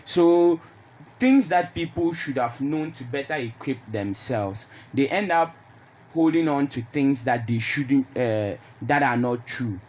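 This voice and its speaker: Male radio panelist, Ghanaian accent, medium pitch, explains points with emphasis; slightly noisy recording. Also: lively intonation, unhurried, a little mellow. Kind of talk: conversational panel discussion. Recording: noticeable background noise.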